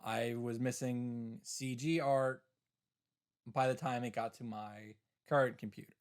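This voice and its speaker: A man's voice: medium pitch, fairly even intonation, unhurried. A man giving casual commentary on a game playthrough, with wry, self-interrupting asides.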